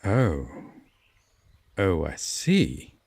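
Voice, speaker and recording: Man voicing a deep, husky, unhurried Fae king. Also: huge pitch swings, a little mellow, heavily acted. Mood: somber, knowing. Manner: measured, formal, deliberate phrasing with long pauses. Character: aloof, reluctant Fae king, stern yet secretly tender. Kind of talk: slow roleplay audio drama monologue.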